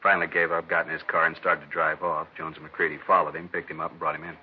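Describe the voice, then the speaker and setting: An actor playing a plain-spoken, businesslike burglary detective in a 1950s radio crime drama, clipped and procedural. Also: male voice, a bass voice, level tone, fast talker. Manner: clipped, procedural, matter-of-fact. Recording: muffled old radio recording, faint noise.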